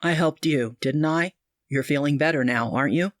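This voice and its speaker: A woman voicing an earnest young male doctor who speaks low, grave and pleading. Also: moderate pace, no echo, studio quality, lightly acted. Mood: urgent.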